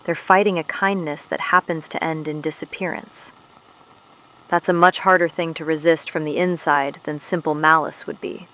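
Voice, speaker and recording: A low-voiced woman an actress in an audio drama hosts a secret resistance broadcast. She is solemn and principled, laying out each phrase with grave, deliberate care. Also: fairly even intonation, bright, forceful tone, rough recording. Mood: grave, sombre. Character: solemn, principled woman hosting a clandestine resistance radio show. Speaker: host of an underground resistance broadcast. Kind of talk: scripted audio drama monologue.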